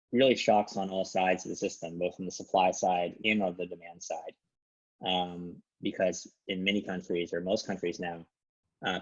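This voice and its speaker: A man presenting an informal market briefing on an investment conference call, with dull, lo-fi call audio. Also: low voice.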